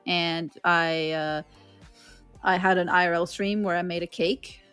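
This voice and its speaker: A woman's voice: lowish voice, steady tone, unhurried, fairly bright tone, clean tone, soft background music. A woman from Sweden with a Swedish accent, a streamer being interviewed, rambling casually with lots of fillers and giggles. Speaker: streamer guest.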